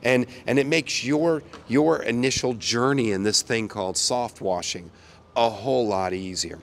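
A man in his sixties from the United States, hoarse from a cold, giving an upbeat folksy sales pitch outdoors. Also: a little slow, a little hiss or hum. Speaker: company owner. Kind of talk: promotional chat to camera. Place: outdoors by a brick wall.

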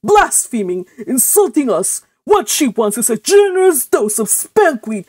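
A blustering older uncle from a storybook, acted by a woman, indignant and scornful. He makes growling, forceful pronouncements, with lively swings of pitch, recorded close and dry. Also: unhurried, slightly rough, no echo, heavily acted. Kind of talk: audiobook character voice.

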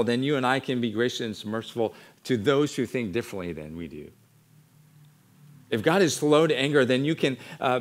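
Middle aged american guy preaching at church, earnest n measured, normal pitch, repeats phrases for emphasis. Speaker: pastor preaching. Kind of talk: sermon. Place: church sanctuary, at a wooden pulpit.

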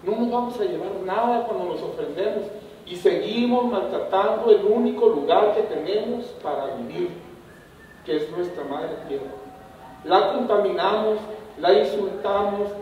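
A man's voice: high-pitched, moderate pace, a little hiss or hum. Impassioned man in echoey hall. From Sonora, Mexico. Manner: impassioned, declamatory. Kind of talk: public speech at a panel. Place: indoor hall with stone wall. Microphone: handheld mic, far camera. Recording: echoey hall from far off, dull.

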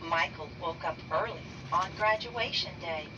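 A woman reading a children's picture book aloud slowly and deliberately, raising her pitch for the characters' lines. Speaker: read-aloud narrator. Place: bedroom, indoors. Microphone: phone camera held over the book. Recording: dull lo-fi sound from a distance with noise.

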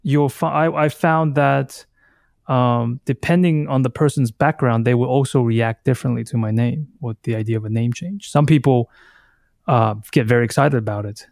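A man in his thirties from Taiwan, a native Taiwanese speaker, talking reflectively as an interview guest in a halting, thoughtful way, often circling back to rephrase. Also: medium pitch, gravelly, darkish tone, dry recording, clean studio sound. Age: 30s. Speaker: podcast guest, artist.

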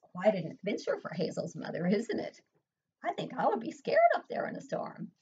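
Older woman, preschool teacher, expressive storybook read-aloud, home webcam, muffled lo-fi sound. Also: medium pitch, brisk, slight background noise. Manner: expressive read-aloud, asks children questions. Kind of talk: reading a picture book aloud to children. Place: home room, indoors. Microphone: webcam at desk. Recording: dull lo-fi webcam audio.

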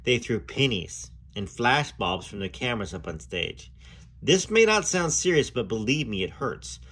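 A man hosting and reading a memoir aloud in an expressive storytelling style, with dramatic phrasing and casual asides. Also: mid-range voice, moderate pace, slightly rough.

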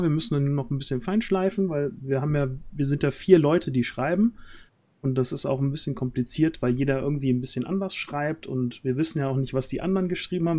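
Mellow-voiced man chatting on a livestream. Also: on the high side. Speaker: host of a role-play audio drama project stream. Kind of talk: casual livestream chat. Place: small room at home, on a group video call. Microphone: close boom-arm studio mic, headset.

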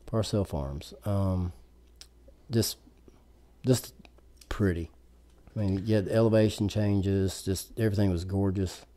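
A man's voice: deep voice, steady tone, unhurried, long pauses, mellow. A man chatting casually as a podcast guest, laid-back and plain-spoken. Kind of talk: casual chat about golf.

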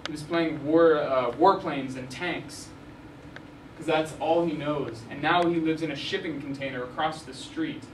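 A young man gives a relaxed talk in a university hall, filling gaps with ums and restarting sentences. His voice is slightly high. It is picked up from far off with plenty of room echo and steady background noise. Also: measured pace. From Arizona, USA. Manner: casual, many ums and restarts. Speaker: journalist giving a talk. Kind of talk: lecture with slides. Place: university lecture hall, indoors. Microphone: room mic at a distance. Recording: echoey hall, mic far off, noisy.

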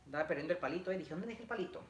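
A man's voice: high-pitched, expressive, racing, lo-fi sound. Young man chatting in an echoey room. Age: young adult. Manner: chatty, reads out greetings, repeats phrases. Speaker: vlogger. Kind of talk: casual livestream chat with viewers while assembling furniture. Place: living room at home. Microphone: camera a few feet away. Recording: echoey room, noisy, clicks.

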